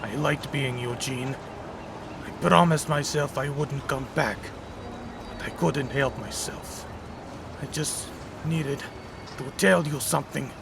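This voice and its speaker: A man voicing a melancholy, lovelorn villain in a comic dub, wistful and halting, on a noisy home recording. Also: mid-range voice, gravelly. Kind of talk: comic dub dramatic acting.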